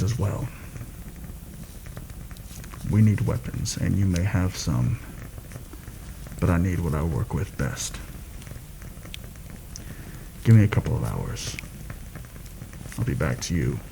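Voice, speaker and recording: A man playing a seasoned fantasy assassin in a soft-spoken ASMR roleplay, measured and deliberate, wary and proud. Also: low voice, creaky, noisy background, exaggerated voice.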